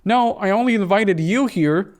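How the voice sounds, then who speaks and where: A man's voice: high-pitched, normal speed, voice pushed up, natural voice. A man reading the part of an uneasy, doubting young woman in a horror visual novel.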